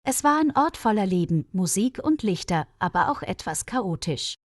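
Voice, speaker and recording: A woman with a mid-range voice narrates a scripted story for language learners. Her delivery is measured, with each sentence clearly phrased. The recording is dry, with hardly any room around the voice. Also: medium pitch, sing-song, dry recording. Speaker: narrator of German-learning stories.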